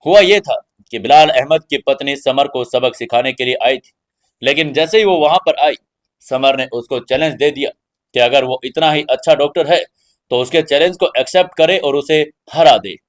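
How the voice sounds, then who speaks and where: A man's voice: mid-range voice, moderate pace. A man hosting and narrating an audio story in a dramatic storytelling style.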